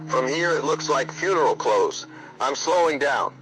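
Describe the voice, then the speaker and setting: A man's voice: mid-range voice, animated, normal speed, fairly bright tone, natural voice, low-quality recording. Man playing a clipped patrol officer, music behind. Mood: tense focus. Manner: clipped, procedural. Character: alert male patrol officer radioing dispatch. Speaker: police officer. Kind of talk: police radio call in horror audio drama.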